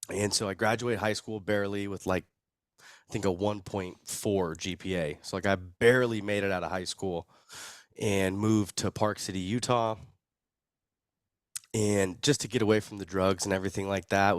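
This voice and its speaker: A young American man speaks in a very low voice while sick with a cold. He tells personal stories casually and with plenty of slang, as a podcast guest. The sound is dry, with little room. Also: smooth, dry recording. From Arizona, United States. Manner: laid-back slangy, chuckles at own story. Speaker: podcast guest, recovery video producer.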